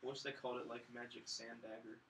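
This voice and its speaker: A man's voice: medium pitch, flat delivery, fast talker, vocal fry, a bit bright, distant mic, a little hiss or hum. A man chatting casually as a film review panelist, with a blunt, joking manner. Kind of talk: casual chat.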